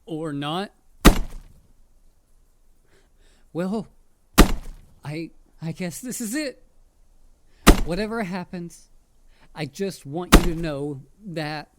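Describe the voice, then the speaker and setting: A performer voicing a mischievous, excitable headless dullahan in a roleplay audio drama, playful, theatrical and full of jokes. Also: mid-range voice, slow, pauses often, very noisy, close to an everyday voice. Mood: panicked.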